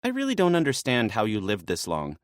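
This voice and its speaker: A man voicing a sharp-tongued, bossy female maenad with a dry, mocking manner. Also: fairly low pitch, wildly swooping pitch, a little fast, dry recording, pitched above the performer's own voice. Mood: sarcastic.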